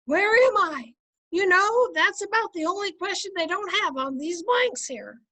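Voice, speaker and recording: A woman playing a dry-witted, gently teasing housewife in an old-time radio comedy, with a folksy manner. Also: extremely high pitch, animated, normal speed, full character voice. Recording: home video-call recording.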